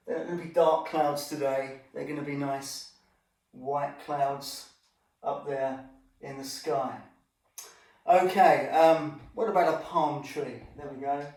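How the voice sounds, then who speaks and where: A man's voice: on the high side, a little mellow. A man telling an informal children's Bible story, chatting directly to his young listeners as he presents. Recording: echoey room, distant microphone.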